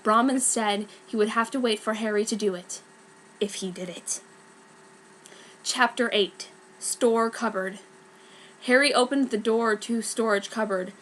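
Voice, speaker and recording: Woman narrator, kinda high bright voice, dramatic fanfic reading, really emphatic. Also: unhurried, pauses often, slight background noise, low-quality recording. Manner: theatrical, emphatic. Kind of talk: dramatic fan fiction reading.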